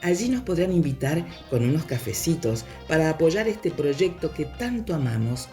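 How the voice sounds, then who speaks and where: A woman's voice: sits low, expressive, moderate pace, mellow. An Argentine woman reading a scripted promotional announcement for a podcast. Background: guitar music.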